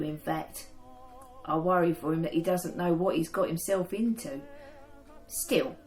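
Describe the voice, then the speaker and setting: A woman's voice: on the low side, darkish tone, natural voice, poor audio. An actress playing a loving, anxious young woman on the home front in a wartime radio play. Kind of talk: period radio drama acting.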